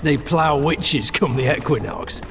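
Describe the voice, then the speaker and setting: An actor playing a foul-mouthed, belligerent dungeon guard with a gravelly voice in a video game cutscene. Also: male voice, on the high side, background noise, close to an everyday voice. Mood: scornful. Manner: coarse, belligerent, drawn-out delivery. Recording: echoing dungeon reverb with music behind.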